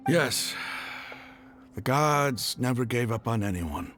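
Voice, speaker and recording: An actor playing a repentant, earnest leader of a desert faction in a video game, speaking haltingly. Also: male voice, medium pitch, expressive, music in the background. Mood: earnest, resolved.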